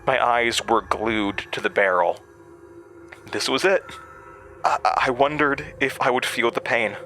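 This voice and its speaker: An actor playing a traumatized man recounting his horrifying past in an audio drama, halting and stammering. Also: medium pitch, bright, forceful tone. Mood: haunted, tense. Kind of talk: audio drama monologue, first-person narration. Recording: music under the voice.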